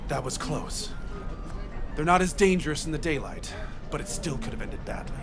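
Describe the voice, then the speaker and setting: An actor playing a fanatical, paranoid street prophet in a video game, preaching conspiracy theories with theatrical, defiant grandeur. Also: male voice, on the high side, animated, normal speed, music in the background. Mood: wounded, brooding.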